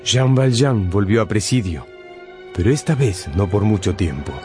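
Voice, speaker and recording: A man's voice: fairly low pitch, expressive, moderate pace, warm tone. A man narrating a scripted radio drama as a dramatic storyteller. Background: background music.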